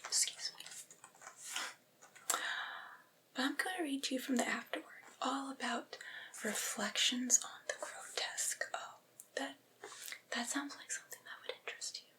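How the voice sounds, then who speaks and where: A woman playing a vampire's devoted, patient familiar in a whispered ASMR roleplay, speaking softly and breathily in a coaxing, chatty, gently teasing way. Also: sits high, moderate pace, lightly acted, rough recording. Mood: soothing.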